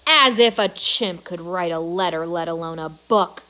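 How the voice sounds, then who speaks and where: A woman voicing a proud, grumbling male gorilla who narrates his own storybook tale in a measured, deliberate way. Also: lowish voice, bright, forceful tone, pitched below the performer's own voice. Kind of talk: storybook narration in first person.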